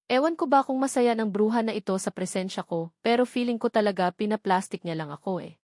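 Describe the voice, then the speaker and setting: A woman's voice: mid-range voice, quick pace, darkish tone, no echo, studio quality. A woman with a Filipino accent reading scripted first-person story narration as an audiobook narrator.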